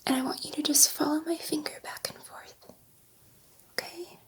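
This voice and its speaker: Woman playing a gentle whispering alien scientist. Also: sits high, steady tone, close to an everyday voice. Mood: gentle, instructing. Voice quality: soft breathy whisper. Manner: soothing, slow, repeating words reassuringly. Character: gentle, excitable female alien scientist soothing an abducted human. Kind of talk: ASMR roleplay.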